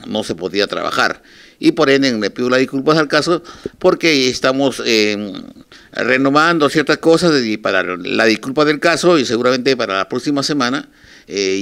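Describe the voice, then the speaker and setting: An older man with a Peruvian Spanish accent speaks haltingly and informally in an interview, addressing his listeners. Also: medium pitch, fairly bright tone. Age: 60s or older. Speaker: radio station manager, interviewee. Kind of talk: informal televised statement to listeners. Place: small office, indoors. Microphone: handheld reporters' microphones held close.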